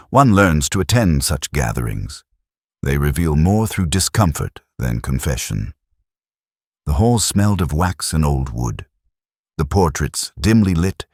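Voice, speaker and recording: A man narrating a scripted first-person detective story in a measured, literary, brooding manner. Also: extremely low pitch, no echo, studio quality.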